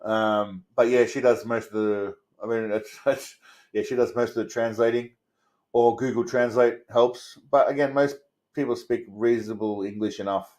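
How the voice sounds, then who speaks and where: A man's voice: mid-range voice, fairly even intonation, normal speed, darkish tone. A man with an Australian accent hosting a casual livestream, rambling and swearing in a crude, blokey way as he talks to viewers. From Australia, Melbourne suburbs. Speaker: host of a livestream channel. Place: indoors.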